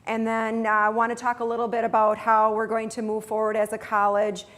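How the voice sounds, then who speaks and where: A woman's voice: fairly high pitch, monotone, brisk, a bit bright. A middle-aged woman, a college president, talking casually and chattily to staff, with restarts and self-deprecating asides. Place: large auditorium with audience. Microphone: handheld mic, far camera.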